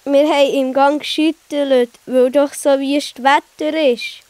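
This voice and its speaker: A woman's voice: high-pitched, fairly even intonation, unhurried, a little mellow. A woman playing an earnest young boy in a children's audio play. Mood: sheepish. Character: Hops, young boy child character, conscientious and encouraging.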